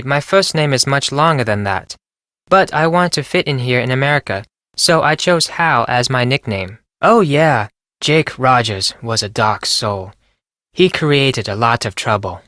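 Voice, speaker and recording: A man's voice: medium pitch, a bit bright, no room sound, studio quality, lightly acted. A man playing an anxious, earnest teenage Japanese exchange student with a Japanese accent and formal, careful phrasing, in video game lines.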